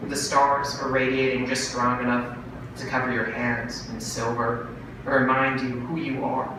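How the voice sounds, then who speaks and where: A man voicing a fast-talking, wheedling brother pitching a bargain in a dramatic monologue from a short story. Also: close to an everyday voice. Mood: brooding, solemn. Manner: salesman-like, cajoling, animated. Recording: very echoey room, distant mic, noisy.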